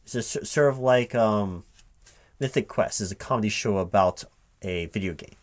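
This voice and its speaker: A man with a fairly low voice plays a recruiting manager in a one-sided ASMR roleplay. He speaks aloud without whispering, haltingly, often stammering and repeating words as if thinking through each reply. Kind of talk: one-sided interview roleplay, soft-spoken ASMR at normal voice.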